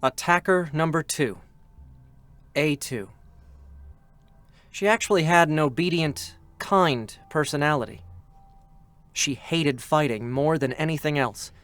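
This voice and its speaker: A man's voice: fairly high pitch, measured pace, pauses often, a bit bright, clean tone, no room sound. An actor playing a youthful, earnest male scout android in a dramatic reading of a game character. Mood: solemn. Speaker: character narrator. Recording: music behind the voice. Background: music.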